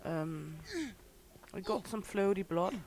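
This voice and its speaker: Slow-talking hoarse woman streamer. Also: on the low side. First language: Danish. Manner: unhurried, chatty, greets viewers warmly by name. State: slightly hoarse. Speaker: gaming streamer. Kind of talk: casual livestream commentary while playing.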